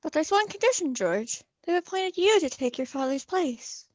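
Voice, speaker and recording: A woman plays an urgent, excited messenger in 1940s radio drama style. Her voice is extremely high and the sound is close and dry. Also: normal speed, dry recording. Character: excited, urgent small-town board messenger.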